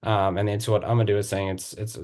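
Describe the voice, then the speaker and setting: A man's voice: on the low side, level tone, quick pace, darkish tone. A man speaking as a panelist, often repeating words and restarting his phrases. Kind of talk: panel discussion.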